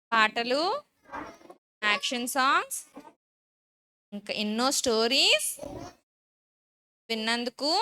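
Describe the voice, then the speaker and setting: A woman's voice: fairly high pitch, bright, forceful tone, smooth, rough recording. A woman, a Sunday school teacher instructing and leading children firmly in short phrases.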